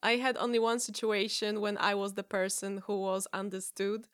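A woman from Poland with a Polish accent, a guest chatting casually on a podcast and telling stories with lively restarts. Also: mid-range voice, normal speed, dry recording, studio quality. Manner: lively storytelling with many restarts. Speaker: guest improviser.

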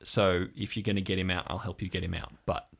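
A man playing an earnest, wary young woman from a compound in an improvised audio drama, speaking in a hesitant, deliberate way. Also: low-pitched, flat delivery, gravelly, fairly bright tone, slightly rough, exaggerated voice.

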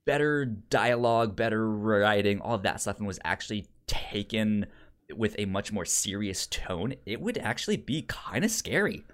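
A man's voice: on the low side, animated, normal speed. A man co-hosting a casual film podcast, stuttering and often repeating sounds and syllables as he talks. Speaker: podcast co-host.

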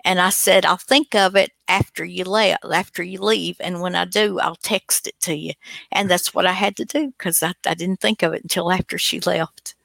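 A woman's voice: on the low side, steady tone, normal speed, vocal fry, fairly bright tone, no echo. A woman co-hosting a show with casual, rambling, folksy and teasing unscripted chat. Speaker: co-host of an old-time radio online show.

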